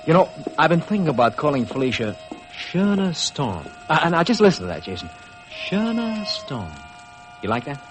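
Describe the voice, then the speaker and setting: An American man playing an excitable, wheedling publicity agent in 1950s radio drama style. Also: on the high side, normal speed, soft background music. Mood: eager, pitching. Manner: wheedling, salesman-like, insistent. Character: pushy, excitable, chuckling Hollywood publicity man. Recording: noisy vintage 1950s radio recording.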